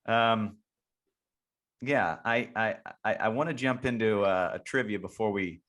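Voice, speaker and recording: A man with a fairly low voice hosts a casual chat and drifts easily from one thought to the next. He often restarts his sentences and repeats words as he goes. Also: measured pace, fairly bright tone. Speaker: podcast host and youth baseball coach. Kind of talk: casual interview conversation.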